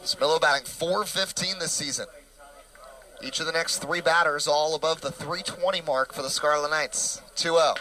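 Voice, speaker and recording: A young man calling a college baseball game play-by-play, brisk and packed with stats. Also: on the high side, bright, forceful tone, rough recording. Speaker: play-by-play announcer.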